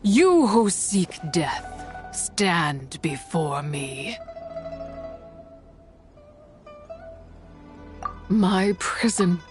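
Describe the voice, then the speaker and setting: A woman's voice: fairly low pitch, sing-song, very slow, soft background music, heavily acted. A woman playing a menacing ancient spirit in a video game, speaking imperiously and solemnly in formal, archaic phrases. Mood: cold, menacing. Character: menacing, ancient female spirit, contemptuous of mortals. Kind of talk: video game character acting.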